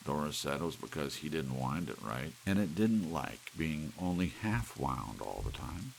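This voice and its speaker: A man narrating an audiobook in ASMR style, with soft rain behind him. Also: very deep voice, very animated, a little slow, gravelly, slight background noise.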